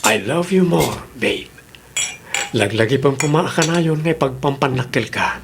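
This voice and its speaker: An actor with a Filipino accent playing an affectionate but insecure husband who broods about money, in an Ilocano radio drama. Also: male voice, mid-range voice, a little slow, noisy background, close to an everyday voice.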